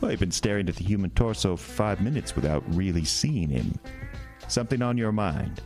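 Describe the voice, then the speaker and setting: An actor playing a sly, sinister male carnival owner who talks in a smooth, teasing, drawn-out way in a horror radio drama. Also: on the low side, expressive, a little mellow. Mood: insinuating. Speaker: carnival showman. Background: music score.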